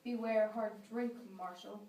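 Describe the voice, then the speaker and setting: A woman's voice: fairly high pitch, steady tone, unhurried, dark tone, highs cut off. An imperious judge of colonial times acted by a woman, curt and commanding. The amateur play is caught from a distance in an echoey room. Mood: stern. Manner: curt, questioning, authoritative. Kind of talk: amateur stage-play acting. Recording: echoey room, distant mic, faint noise.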